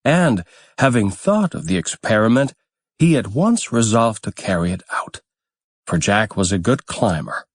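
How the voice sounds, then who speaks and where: A man reads a fairytale as an audiobook narrator. His voice sits fairly low and he takes his time, with very slow, deliberate phrasing. The sound is dry and close, with no room around it. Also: animated, dry recording.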